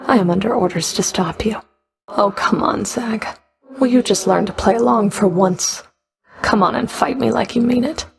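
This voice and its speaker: A woman's voice: mid-range voice, moderate pace, creaky, lightly acted. A woman playing a stern, sardonic underworld Fury in a video game, cool, taunting, curt and commanding. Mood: stern, challenging. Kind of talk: video game character voice lines.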